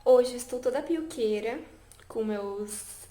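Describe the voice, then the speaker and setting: A woman's voice: a little high, takes it slowly, warm tone. A woman chatting casually to camera about learning languages, with many fillers and an upbeat, talkative manner. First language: Portuguese. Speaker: language vlogger.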